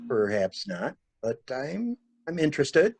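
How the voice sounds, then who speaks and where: A man acts a probing stranger in a murder mystery reading. His slightly husky voice is lively and varied, coolly insistent and a little halting, recorded close and dry. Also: mid-range voice, dry recording, heavily acted.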